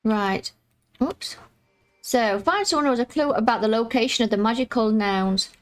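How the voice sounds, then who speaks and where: A woman with a croaky voice casually walking viewers through a video game quest, chatty and explaining each step. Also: fairly high pitch, moderate pace, faint music behind. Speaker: gaming YouTuber.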